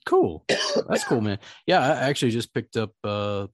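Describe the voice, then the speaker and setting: A man's voice: medium pitch, very animated, normal speed, no echo, studio quality. A middle-aged man hosting a podcast, chatting casually in a rambling, hesitant way. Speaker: podcast host. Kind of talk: casual chat.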